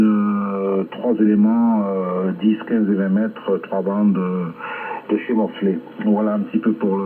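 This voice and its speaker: A man chatting casually as an amateur radio operator over a shortwave link, rambling hesitantly with long filled pauses. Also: lowish voice, phone-quality sound, background noise. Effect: shortwave ham radio transmission. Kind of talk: casual ham radio contact chat. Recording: band-limited shortwave radio reception.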